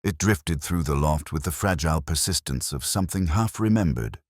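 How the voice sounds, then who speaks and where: A man narrates a literary detective mystery in a very deep voice. His delivery is measured and formal, yet the intonation moves up and down a good deal. The sound is dry, with almost no room to it. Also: lively intonation, slightly rough, no room sound. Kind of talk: scripted first-person detective mystery narration.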